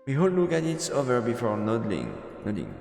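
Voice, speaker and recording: A man streaming a visual novel, reading the narration aloud in an expressive storyteller manner with asides. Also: mid-range voice, brisk, vocal fry. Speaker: streamer, let's player. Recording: echoey reverberant room, music behind. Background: game music.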